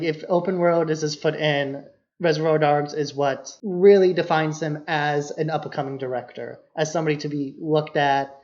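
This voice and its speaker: A man's voice: on the high side, darkish tone. A man co-hosting a podcast, explaining things about film in a casual, loosely worded way. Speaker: podcast co-host. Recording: dull, lo-fi podcast audio.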